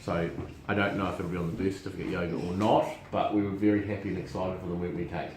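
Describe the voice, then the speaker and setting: A man's voice: low voice, level tone, racing, a little mellow, slight background noise. A middle-aged man, hosting a casual family chat show about horse racing, chatty and prone to repeating words while he searches for them. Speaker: racing show host and syndicate manager. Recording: roomy echo.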